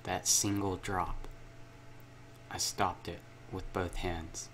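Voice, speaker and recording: A man's voice: deep voice, little pitch movement, slow, a bit bright, slight background noise, low-quality recording. A man hosting a gaming video, reading a visual novel aloud and breaking in with casual, self-interrupting asides.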